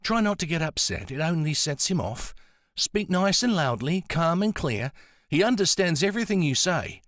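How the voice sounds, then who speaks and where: A man voicing a gentle, determined older woman with a soft Antipodean accent, coaxing and soothing. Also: on the high side, big pitch swings, moderate pace, dry recording, clean studio sound, pitched above the performer's own voice. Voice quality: soft-spoken.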